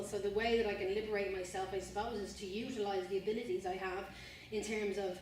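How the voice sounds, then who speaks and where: A young Irish woman speaks earnestly to a student audience, circling back over her words and repeating phrases as she thinks aloud. She is recorded from across the room in a space with heavy echo and a faint background hum. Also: mid-range voice. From Ireland. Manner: earnest, rambling, repeats and restarts phrases, says "you know what I mean". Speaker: spoken word artist giving a talk. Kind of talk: informal talk to students. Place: university room, indoors. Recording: distant, very echoey room with faint ambience.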